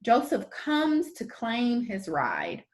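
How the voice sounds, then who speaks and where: A woman reading a children's story aloud as a storyteller, with expressive, deliberate phrasing and dramatic emphasis. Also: on the high side.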